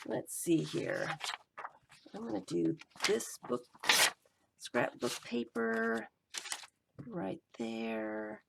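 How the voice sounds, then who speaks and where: A woman crafting and talking to herself as she works, her voice going hoarse. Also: medium pitch, big pitch swings, extremely slow, long pauses, noisy background. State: voice giving out, hoarse. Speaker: collage journaling vlogger. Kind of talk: casual running commentary while crafting.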